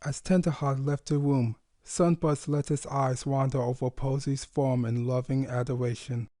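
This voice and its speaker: A man's voice: a little high, dark tone, dry recording. A man reading scripted story narration in an earnest, sentimental way. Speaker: fan fiction narrator.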